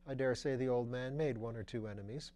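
A man's voice: medium pitch, brisk, warm tone, natural voice. A man voicing a breezy young aristocrat with airy, lilting upper-class banter.

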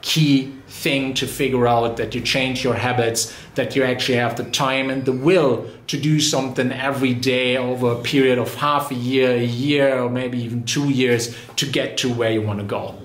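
Fitness vlogger, man in his thirties, mid-pitched, conversational delivery, roomy echo from a garage gym. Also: fairly even intonation, moderate pace, hardly any pauses. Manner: conversational, explaining with frequent "you know" and restarts. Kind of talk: casual talk to camera. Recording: echoey room.

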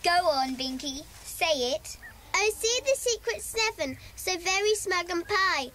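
Piercingly high, cheeky little girl. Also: animated, unhurried, fairly bright tone, a little hiss or hum, full character voice. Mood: mocking. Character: cheeky, teasing little schoolgirl.

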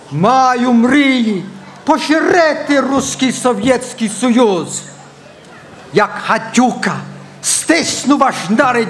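A man's voice: very high voice, exaggerated voice. A man acting a pompous, melodramatic dictator in a satirical stage monologue in verse. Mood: menacing, boastful.

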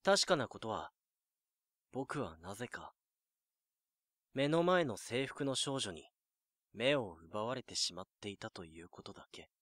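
A man's voice: on the low side, sing-song, a little slow, long pauses, fairly bright tone, no room sound, clean studio sound, heavily acted. A man playing a lonely nineteen-year-old student, narrating an introspective monologue in an audio drama. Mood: hesitant, wistful. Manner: brooding, introspective.

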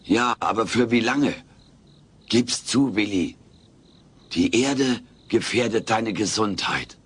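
A man's voice: lowish voice, slow pace, husky. A man playing a wisecracking, theatrical alien creature in a German comedy audio play, talking in a pompous, melodramatic way. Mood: alarmed. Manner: pompous, melodramatic, mock-philosophical.

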